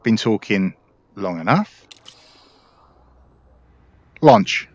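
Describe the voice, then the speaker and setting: A man's voice: on the low side, lively intonation, extremely slow, dry recording, muffled recording. A man giving casual, informal gameplay commentary, explaining things as a video host.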